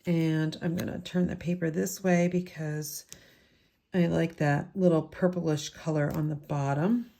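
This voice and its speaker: A woman with a slightly low, raspy voice, still getting over a head cold. She talks casually and explains each step of a craft tutorial as she works. She is from the United States. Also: steady tone, a little slow, mellow. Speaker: card-making instructor. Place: home craft room with open windows.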